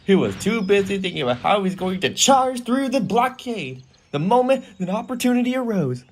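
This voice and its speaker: A man's voice: high-pitched, big pitch swings, moderate pace, slight background noise. A man narrating a scripted children's story in storybook style. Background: music.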